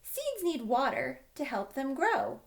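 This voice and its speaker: A woman's voice: high-pitched, sing-song, slow, voice pushed up, full character voice. A woman voicing a friendly, knowing rabbit in a storybook, encouraging and explanatory. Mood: explaining.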